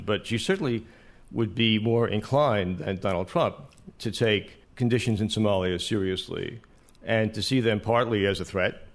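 A man giving measured, analytical commentary as an academic panelist, speaking off the cuff in long qualified sentences. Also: sits low, gravelly. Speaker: panelist, international relations professor. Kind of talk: extemporaneous political analysis on a panel. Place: live event space before an audience.

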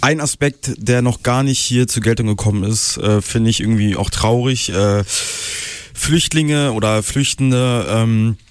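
A man's voice: medium pitch, a little slow, clean tone, dry recording. A man taking part in a casual radio discussion as a panelist. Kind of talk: casual chat.

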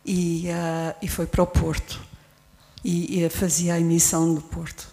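A woman in her sixties from the audience, telling a short story in a steady, orderly way. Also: lowish voice, a little slow. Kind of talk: recounting a story.

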